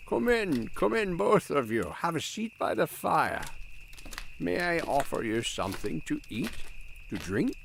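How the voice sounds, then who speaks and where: A man's voice: on the high side, sing-song, unhurried, gravelly, fairly bright tone, a little hiss or hum, full character voice. A man playing a kindly, hesitant old priest and physician of ancient Rome in an audio drama, earnest and courteous. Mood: welcoming. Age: older.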